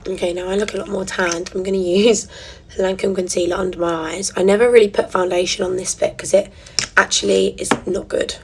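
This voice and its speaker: A young woman, chatting casually while getting ready on her phone camera, giggly and sometimes dropping to a soft near-whisper. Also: mid-range voice, fairly even intonation, brisk, creaky, husky. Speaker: vlogger. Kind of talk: casual get-ready-with-me chat.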